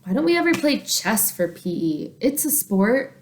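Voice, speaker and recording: A woman's voice: fairly high pitch, big pitch swings, normal speed, a little mellow, a little hiss or hum, pitched above the performer's own voice. A woman voicing an earnest young schoolboy chatting with friends in a children's storybook.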